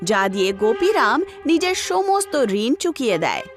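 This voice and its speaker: A woman narrates a cartoon story in a high, lively voice. Her pitch moves up and down a great deal, and music plays clearly behind her. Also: moderate pace.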